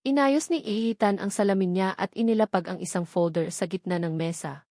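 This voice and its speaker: A woman's voice: mid-range voice, a little fast, dark tone, no room sound, studio quality. A woman with a Filipino accent reading a scripted dramatic story as its narrator.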